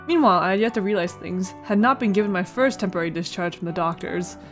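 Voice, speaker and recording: A woman's voice: mid-range voice, quick pace. A woman hosting a casual let's play, reading a visual novel aloud with playful asides and stumbles over words. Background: music.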